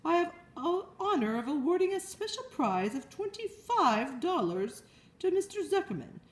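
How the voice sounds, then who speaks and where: A woman reading aloud and voicing a long-winded male fair announcer in a grand, declaiming way, in a roomy space. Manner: grand, declaiming, high and loud. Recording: roomy echo.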